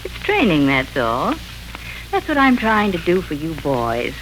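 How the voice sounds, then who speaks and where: A middle-aged woman playing a practical, patient mother in a 1940s radio drama, gently firm and instructive. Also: low voice, huge pitch swings, a little slow, heavily acted. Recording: hissy 1940s radio recording.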